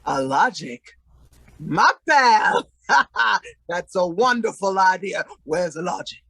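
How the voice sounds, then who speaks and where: An actor playing a sloppy, fawning drunk husband in a comic old-time radio play, with slurred, grandiose speech. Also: male voice, sits high, lively intonation, slow pace, gravelly, pushed and bright, no room sound, heavily acted. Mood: sloppy, elated.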